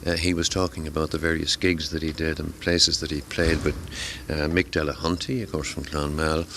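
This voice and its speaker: Deep, gravelly middle-aged man, halting. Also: little pitch movement, background noise. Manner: hesitant, many fillers and repeated words. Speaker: amateur radio class tutor. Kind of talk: conversational interview. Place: radio convention.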